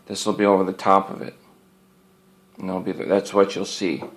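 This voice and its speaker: A man's voice: low voice, little pitch movement, moderate pace. Folksy man casually showing off gear. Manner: folksy, plainspoken, rambling with repeated phrases. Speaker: hobbyist presenter showing radio antenna gear. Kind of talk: casual product show-and-tell.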